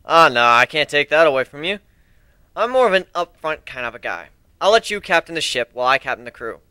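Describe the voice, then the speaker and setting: A man's voice: a little high, sing-song, brisk, sharp tone, clean tone, no echo. A man voicing a cocky, slangy griffin adventurer in an amateur dramatic story reading. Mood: easygoing.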